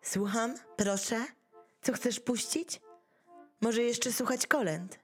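Lady doing a grumbly picky pet dog, rhyming radio play, blunt, slowish delivery. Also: on the high side, soft background music. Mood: impatient. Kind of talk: rhymed verse in an amateur radio play.

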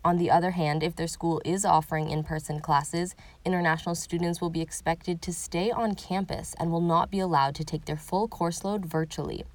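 A woman hosts a news segment in a measured, scripted read. Her voice sits a little low, and the recording is dry and close, with no room around it. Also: no echo. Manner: measured, even reporting delivery. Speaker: radio reporter and host.

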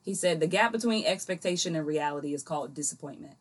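Woman, somewhat low pitch, podcast co-host, playful, colloquial, casual chat. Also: lively intonation, fast talker. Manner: playful, colloquial, acts out quoted remarks.

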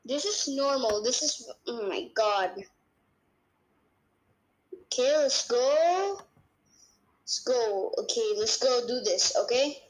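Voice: medium pitch, lively intonation, slow pace, long pauses, low-quality recording. A podcast co-host chatting casually in a guessing game, hesitant and repeating short phrases.

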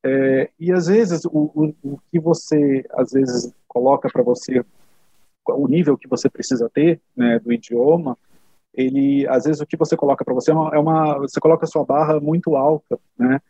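Brazilian guy explaining stuff in an interview, kinda husky, mellow, dull lo-fi sound. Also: on the high side, moderate pace, no room sound. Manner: explanatory, many fillers like "né" and "tá". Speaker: interview guest. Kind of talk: casual interview answers.

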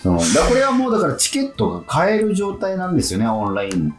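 A man hosts a casual radio chat with highly animated pitch. He asks informal questions and often murmurs agreement. The room is audible, with faint music underneath. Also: very fast. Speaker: radio host interviewing guests. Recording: roomy sound with faint music.

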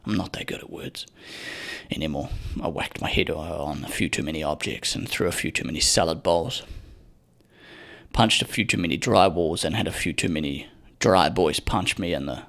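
A man's voice: a bass voice, moderate pace, fairly bright tone. A young man hosting his own podcast, talking casually in a profane, rambling, sardonic way into a microphone. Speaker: podcast host. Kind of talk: casual solo podcast rant.

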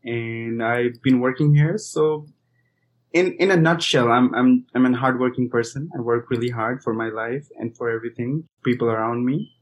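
A man's voice: mid-range voice, moderate pace. Hesitant man from India, guest. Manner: hesitant, many restarts and fillers. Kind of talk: conversational interview answers.

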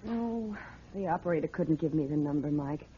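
A woman's voice: low-pitched, animated, moderate pace, a little hiss or hum. An actress playing an anxious, kindly young woman, the detective's sweetheart, in a 1940s radio drama. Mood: worried. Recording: muffled old radio broadcast recording.

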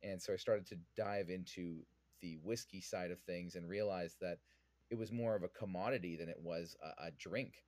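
A man's voice: low-pitched, steady tone, normal speed, darkish tone, dry recording. A man from Vancouver with a Canadian accent, answering interview questions conversationally as a podcast guest. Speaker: podcast guest, whiskey influencer.

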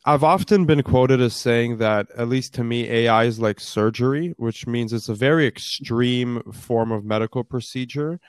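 A young man in his twenties with a deep voice, answering interview questions in a fluent, storytelling way with frequent fillers. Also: moderate pace, few pauses, smooth, dry recording. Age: 20s. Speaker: startup founder guest. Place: based in Canada.

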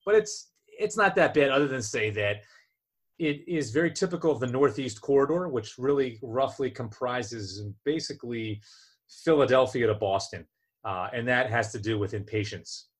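A man's voice: medium pitch, moderate pace, breathy edge. A man from New Jersey chatting casually as co-host, joking and self-deprecating, often restarting his sentences. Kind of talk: casual chat.

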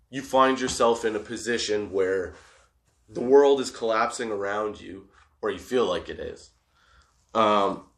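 Profane, rambling stoner man in echoey room. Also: lowish voice. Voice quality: profane, laughs often. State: high on cannabis. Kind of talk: rambling casual monologue.

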